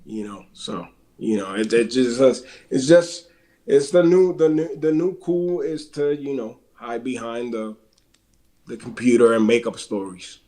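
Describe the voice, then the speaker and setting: A man's voice: mid-range voice, measured pace, mellow. A man with a Puerto Rican accent co-hosting a wrestling podcast, plugging upcoming shows with dates and web addresses in a casual chat broken up by fillers. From Puerto Rico.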